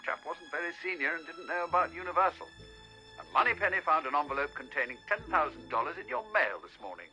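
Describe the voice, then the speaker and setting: An actor playing a brusque British spy chief in a radio drama, gruff, clipped and authoritative. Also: male voice, fairly high pitch, expressive, fast talker, pushed and bright, faint music behind, low-quality recording. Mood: brisk, stern.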